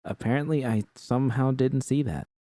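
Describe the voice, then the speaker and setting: A man's voice: medium pitch, normal speed, dark tone, dry recording, clean studio sound, pitched above the performer's own voice, natural voice. A man voicing an earnest young woman technician, nervous but professional, hesitant and accommodating.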